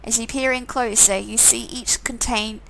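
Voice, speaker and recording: A woman's voice: on the high side, steady tone, normal speed, gravelly, fairly bright tone, a little hiss or hum, low-quality recording. A woman reading a visual novel game aloud, with sarcastic, amused asides in a casual style. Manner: sarcastic, amused commenting between read lines.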